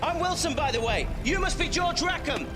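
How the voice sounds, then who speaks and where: A man's voice: very high-pitched, lively intonation, brisk. An actor playing an earnest, suspicious, by-the-book airman, anxious and exasperated, calling out in video game dialogue. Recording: loud music behind.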